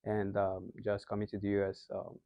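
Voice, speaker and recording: A man's voice: lowish voice, monotone, moderate pace, warm tone, dry recording. A young man from Nigeria with a Nigerian accent, a guest in a casual interview who explains things in an earnest way. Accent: Nigerian English. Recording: slightly muffled lo-fi sound.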